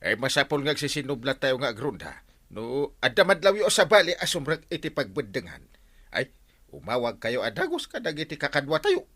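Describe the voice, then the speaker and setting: Village captain, Ilocano radio comedy-drama: earnest, excitable, mid-pitched with very lively swings. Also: male voice, brisk. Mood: brisk, resolute.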